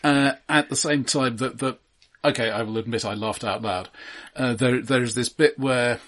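A man co-hosting a film podcast, talking casually in a halting, dryly analytical way as he thinks aloud. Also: mid-range voice, steady tone, fairly bright tone.

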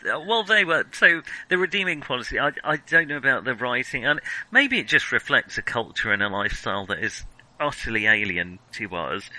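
A man's voice: medium pitch, sharp tone, low-quality recording. A man co-hosting a film podcast in casual discussion, hesitant and self-questioning yet blunt in his opinions. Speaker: podcast co-host reviewing films.

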